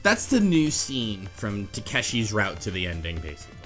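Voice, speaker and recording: A man's voice: lowish voice, wildly swooping pitch, normal speed. A man hosting a casual podcast discussion of a game's story, explaining things in a hesitant, rambling way. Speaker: podcast host. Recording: music under the voice. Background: music.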